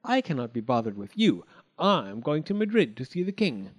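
A man's voice: mid-range voice, big pitch swings, fairly quick. A man voicing a naughty, self-important little half chick in a storybook tale. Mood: dismissive. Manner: dismissive, cocky. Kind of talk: storybook character voice.

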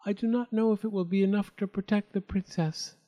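Figure: a man playing a shy young fairy. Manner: soft, earnest. Pitch: high. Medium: storybook. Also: steady tone, a little fast, highs cut off, natural voice. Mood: solemn.

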